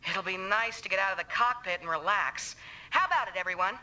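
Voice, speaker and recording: An actress playing a tough young woman mech pilot in a video game, brisk, bossy and scolding. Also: mid-range voice, sharp tone, rough recording. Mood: businesslike.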